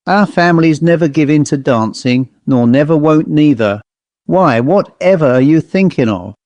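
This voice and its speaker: A man's voice: fairly high pitch, animated, moderate pace, a little mellow, no room sound, muffled recording, close to an everyday voice. A man voicing a grumpy, indignant, self-important owl in a story, complaining in rustic, ungrammatical speech. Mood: huffy. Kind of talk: storybook character voice.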